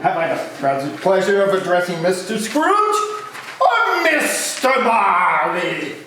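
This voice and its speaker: A man's voice: sits high, very animated, slow, exaggerated voice. A man playing a portly, polite charity collector with florid formal manners in an amateur comic play reading. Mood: genteel pleading. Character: portly, genteel, ingratiating male charity collector. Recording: cavernous echoey room, faint background noise.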